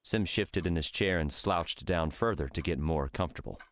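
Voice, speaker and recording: Casting: male narrator, low-pitched, measured storyteller for a fiction audiobook. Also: a bit bright.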